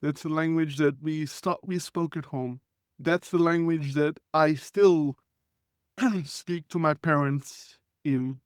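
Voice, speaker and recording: A man whose first language is Hebrew, telling his story in a reflective, articulate way with frequent restarts and throat clearing. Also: a little high, takes it slowly, no echo, clean studio sound. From Israel. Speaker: guest. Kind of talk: conversational storytelling.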